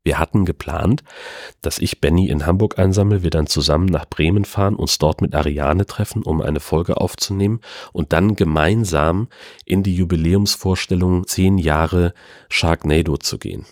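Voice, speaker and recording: A man's voice: low-pitched, normal speed, darkish tone, no echo, clean studio sound. A man hosting a solo podcast from home, telling stories in a rambling, chatty way with wry asides. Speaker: podcast host. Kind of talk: casual solo podcast monologue.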